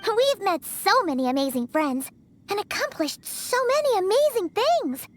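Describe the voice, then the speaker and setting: A woman's voice: extremely high pitch, big pitch swings, normal speed. A woman voicing a tiny, cheerful, chatty female fairy companion who speaks of herself in the third person, in a video game. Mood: earnest.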